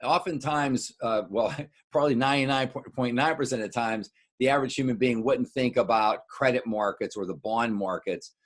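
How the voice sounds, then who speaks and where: A middle-aged American man, a wealth adviser, gives an informal market recap to clients, halting and repeating words as he adds explanatory asides. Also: mid-range voice.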